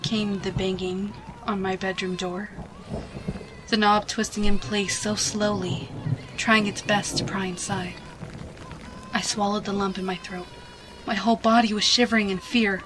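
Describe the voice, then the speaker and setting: A woman giving a hushed, expressive, dramatic reading of a suspense story, with music behind her. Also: mid-range voice, unhurried, background noise. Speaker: fan fiction reader.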